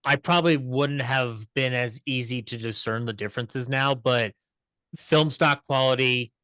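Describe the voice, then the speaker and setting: A man on a podcast panel chatting casually, haltingly repeating words as he searches for the next one. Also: medium pitch, pushed and bright, clear, resonant tone, no echo. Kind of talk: casual chat about old television.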